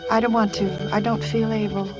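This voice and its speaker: An actress playing a nervous, fragile young wife sinking into madness, intense and confiding in the style of old American radio suspense. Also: female voice, mid-range voice, little pitch movement, moderate pace, dull audio, over music, close to an everyday voice. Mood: haunted, confiding. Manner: intense, anguished, confiding, dramatic. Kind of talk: 1940s-50s American radio suspense acting.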